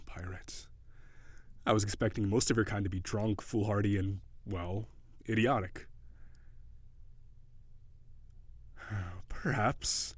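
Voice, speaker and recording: Casting: male actor for haughty sea-beast prince in audio roleplay. Low, unhurried, formal archaic speech, prissy and world-weary. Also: fairly bright tone, dry recording. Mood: dry, haughty.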